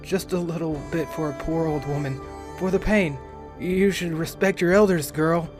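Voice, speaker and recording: A man voicing a frail old woman, a desperate addict who coaxes and pleads in a croaking voice, in an audio story. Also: fairly high pitch, fairly even intonation, moderate pace, music in the background, voice pushed up, natural voice. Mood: wheedling, desperate. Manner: rattling, coaxing, pleading.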